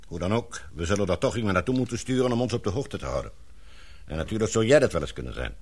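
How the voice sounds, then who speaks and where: Deep-voiced, authoritative older father. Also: lively intonation, fast talker, a little mellow, breathy edge. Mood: serious, brisk. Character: older authoritative English knighted father, gloomy yet affectionate. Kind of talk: radio drama acting.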